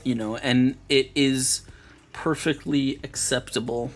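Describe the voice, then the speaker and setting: A man chatting casually on a live stream, rambling through restarts and fillers, with a voice that is going hoarse. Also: medium pitch, fairly even intonation, slow, faint music behind. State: losing his voice.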